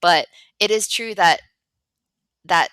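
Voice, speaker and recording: A woman guest on a business podcast, chatty and animated, laughing often and sprinkling "right" and "like" into her talk. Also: lowish voice, slow, no room sound, clean studio sound. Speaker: business development director, guest. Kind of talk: conversational podcast interview.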